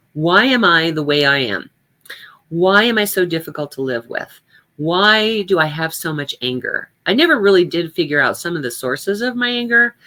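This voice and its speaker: A woman's voice: sits low, pushed and bright. An older woman giving a reflective personal talk, speaking candidly and conversationally with emphasis on her points.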